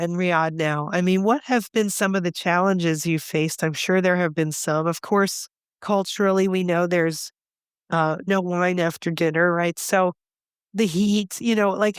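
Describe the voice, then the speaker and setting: A woman's voice: lowish voice, smooth, no echo, studio quality. Enthusiastic woman podcast host. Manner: enthusiastic, animated storytelling. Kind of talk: conversational interview.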